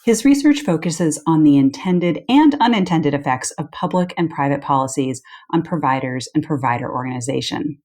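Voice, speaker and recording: A woman's voice: sits low, lively intonation, moderate pace, few pauses, darkish tone. A woman co-hosting a podcast as a deputy editor, introducing and interviewing a guest. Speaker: co-host, deputy editor and professor.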